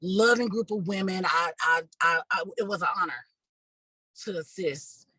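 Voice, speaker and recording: Woman organizer giving interview answers, husky forceful voice, earnest, kinda halting, close dry sound. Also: fairly low pitch, no room sound. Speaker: community organizer and activist, interview guest.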